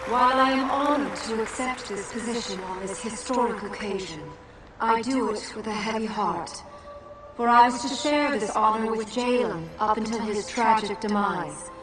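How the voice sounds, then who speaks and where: A woman's voice: fairly high pitch, moderate pace, music in the background, natural voice. An actress playing a solemn female program leader giving a formal speech in a game cutscene. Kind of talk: video game cutscene acting. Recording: echoey and distant, score behind.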